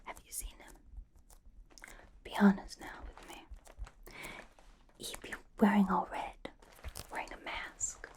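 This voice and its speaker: A woman's voice: slow pace, long pauses, a little mellow, lightly acted. A woman playing a quirky, playfully suspicious young superheroine in an ASMR roleplay, coaxing and questioning in an airy whisper. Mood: mock-stern questioning. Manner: coaxing, playful mock-interrogation, repeats phrases. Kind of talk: ASMR roleplay, personal attention.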